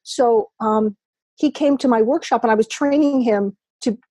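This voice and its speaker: A talkative woman rambles loosely, her fairly high voice mellow and soft-edged. She laughs easily as she talks. The sound is dry and close over a computer mic. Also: moderate pace, dry recording. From New York. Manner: chatty, rambling, laughs often. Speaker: podcast guest, poker writer. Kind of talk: casual remote interview chat.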